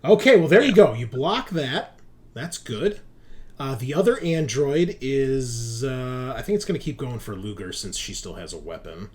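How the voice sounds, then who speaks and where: A man's voice: medium pitch, lively intonation, normal speed, darkish tone. A man acting as game master, explaining rules and narrating a tabletop roleplaying game in a conversational, explanatory way.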